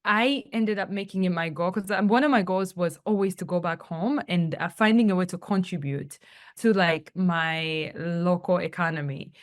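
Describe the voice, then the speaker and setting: A woman's voice: lowish voice, moderate pace. A young woman from Tanzania, a podcast guest, telling her story in a chatty way full of fillers and acted-out quotes. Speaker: guest, travel company founder. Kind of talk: casual podcast interview.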